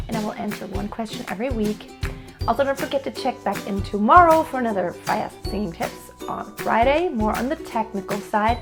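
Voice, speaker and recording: A woman teaching singing, explaining technique in a friendly, patient way and answering a viewer's question. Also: a little high. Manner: friendly, explanatory, with sung demonstrations. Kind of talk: vocal coaching tips to camera.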